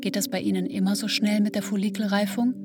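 An actress playing an introspective woman in her thirties, narrating a literary radio play in a measured, reflective way. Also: medium pitch, flat delivery, natural voice. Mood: reflective, tense. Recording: music under the voice. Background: musical instruments.

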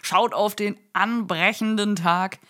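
A woman's voice: medium pitch, fairly even intonation, slow pace, fairly bright tone. A woman narrating a literary radio play from a script. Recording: music bed behind the narration.

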